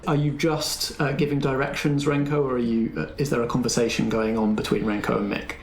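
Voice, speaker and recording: A man's voice: mid-range voice, little pitch movement, a little fast. A man acting as game master, narrating scenes and prompting players in a tabletop roleplay. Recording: echoey room, faint background noise.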